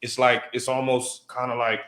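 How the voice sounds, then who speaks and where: A man's voice: medium pitch, monotone, moderate pace, fairly bright tone. An American man talking casually on a podcast in a laid-back, slangy way, full of fillers. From United States. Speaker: podcast guest and DJ. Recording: roomy echoey podcast audio.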